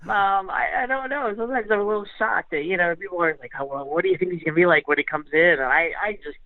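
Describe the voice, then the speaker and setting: A woman's voice: fairly low pitch, quick pace, no room sound. An American woman giving casual sports analysis as an interview guest, backtracking and repeating phrases as she thinks aloud. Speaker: sports beat writer, podcast guest. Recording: narrow phone-like line.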